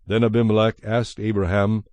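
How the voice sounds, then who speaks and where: A man giving a measured, solemn narration of scripture as the narrator of a dramatized production. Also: lowish voice, flat delivery, dry recording. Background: soft music.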